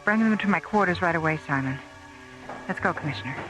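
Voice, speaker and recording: An actress playing a brisk, decisive doctor on a space station in a science fiction radio drama. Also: female voice, on the low side, lively intonation, a bit bright, faint music behind, poor audio.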